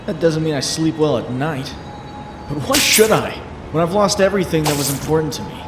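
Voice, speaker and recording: A man's voice: a little high, natural voice. An actor playing a brooding, wisecracking young male superhero in a dramatic comic dub, voicing introspective monologue with wry quips. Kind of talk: dramatic comic-dub narration and character acting. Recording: noisy, echoey, ambience behind.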